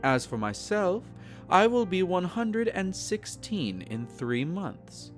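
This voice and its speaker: A man performs a centuries-style vampire gentleman, possessive and teasing, in an intimate audio roleplay. His formal, silky delivery is slow and rises and falls widely. Gentle guitar music plays underneath. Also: high-pitched, sing-song, heavily acted. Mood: courteous, curious. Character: possessive, teasing, courtly male vampire, centenarian yet youthful. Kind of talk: intimate roleplay audio, scripted character acting.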